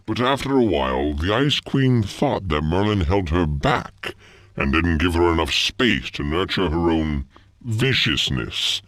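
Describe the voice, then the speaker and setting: A man acts out a haughty schemer from a fantasy video game. His voice is deep and dry, with lively rises and falls. He speaks in a measured, wryly disdainful way. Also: no echo, heavily acted. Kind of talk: video game cutscene acting.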